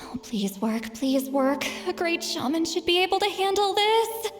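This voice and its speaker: A woman's voice: extremely high pitch, sing-song, unhurried, heavily acted. A woman voicing a centuries-old shaman with a youthful voice, shy and easily flustered. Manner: hesitant, stammering, fussy. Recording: game audio with faint music.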